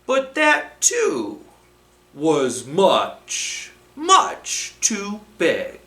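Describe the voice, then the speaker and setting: A man reading a storybook aloud to children as a storytime host, expressive, with dramatic pauses and emphasis. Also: high-pitched. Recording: roomy, echoing room sound.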